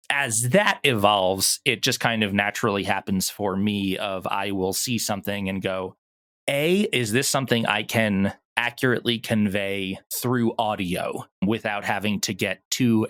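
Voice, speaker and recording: Low-voiced man explaining his creative process. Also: fairly bright tone. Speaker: audio drama producer, interview guest. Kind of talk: conversational interview.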